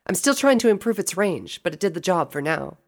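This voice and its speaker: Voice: medium pitch, big pitch swings, fairly quick, voice pushed up. A narrator voicing an earnest, clever young female unicorn pony. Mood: modest.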